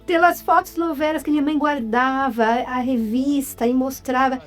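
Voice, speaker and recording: A woman's voice: high-pitched, fairly even intonation, normal speed, soft background music. A woman with a Brazilian accent telling stories conversationally as an interview guest, reflective and often checking in with the listener. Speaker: interview guest, writer and actress. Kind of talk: casual conversational storytelling.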